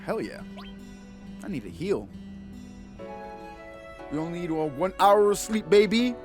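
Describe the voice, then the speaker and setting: A man's voice: high-pitched, big pitch swings, unhurried, faint music behind. A man doing casual, slangy let's play commentary with playful reactions.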